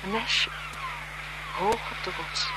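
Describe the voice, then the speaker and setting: An actress playing a self-possessed, flirtatious marquise in a 1970s Dutch radio play, speaking formally and teasingly. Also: female voice, mid-range voice, slow pace, close to an everyday voice. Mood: coy, teasing. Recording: 1970s radio drama with noisy background.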